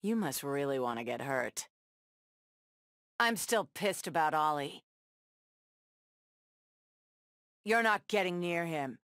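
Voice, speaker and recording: A woman's voice: low-pitched, expressive, a little slow, a bit bright, clean tone, no echo, studio quality, heavily acted. A woman playing a tough, sassy superheroine in a video game, trading confident, defiant taunts and wry one-liners. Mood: stern. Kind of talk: video game battle banter.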